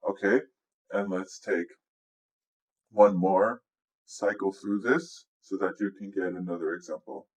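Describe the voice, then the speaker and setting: A man with a low-pitched voice gives a casual software walkthrough. He speaks as a music producer explaining his process, informal and instructive. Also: fairly even intonation, unhurried, pauses often. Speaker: music producer giving a tutorial. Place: indoors, voice-over on a screen recording.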